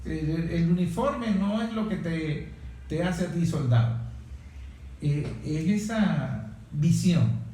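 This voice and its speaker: A man in a reflective political discussion as interviewer, speaking haltingly with repeated words and rhetorical questions. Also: a little high, expressive, mellow. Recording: very echoey room, distant mic, faint noise.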